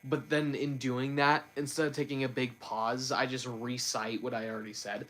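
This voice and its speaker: A man's voice: medium pitch, flat delivery, moderate pace, a bit bright. A man hosting a casual livestream and talking off the cuff. He stumbles, restarts his sentences and repeats words often. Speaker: livestream host.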